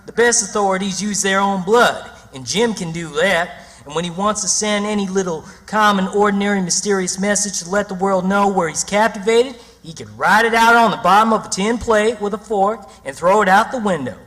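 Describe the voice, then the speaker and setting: A cocky boy schemer from a radio drama , acted by a man, with a rural Southern American drawl. The voice is high and bright, and he lays down his plans emphatically. Long reverb surrounds him. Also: a little fast. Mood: know-it-all. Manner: emphatic, know-it-all explaining. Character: cocky, scheming boy adventurer, bossy and stubborn. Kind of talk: radio theatre acting. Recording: long reverberant hall sound.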